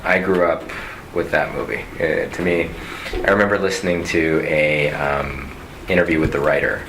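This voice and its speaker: A deep, gravelly man chats loosely in an interview, full of fillers and restarts. His low voice sits over a noisy background. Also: level tone, moderate pace. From United States. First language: Cantonese. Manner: rambling, many fillers and restarts, chuckles. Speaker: interviewee, film industry worker. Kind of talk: casual interview chat.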